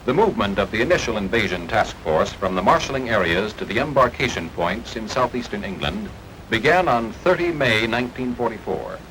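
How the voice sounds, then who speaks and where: A man's voice: fairly low pitch, sing-song, fairly quick, a bit bright. An American man narrating a 1940s wartime propaganda film from a script, in a formal, declamatory and emphatic way. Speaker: newsreel-style documentary narrator. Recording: noisy archival 1940s film soundtrack.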